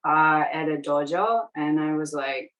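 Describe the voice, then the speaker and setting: Low-voiced woman from New Zealand. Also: measured pace, slight background noise. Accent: light New Zealand. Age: 40s. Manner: relaxed, chatty, laughs easily. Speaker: interview guest. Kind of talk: casual interview chat. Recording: distant from the mic.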